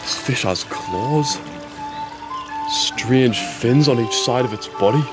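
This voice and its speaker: A man's voice: mid-range voice, slow, lightly acted. A man playing a curious river fisher in an audio drama. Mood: wondering. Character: curious river fisher marvelling at a strange catch. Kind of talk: audio drama narration in character. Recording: very loud music behind the voice.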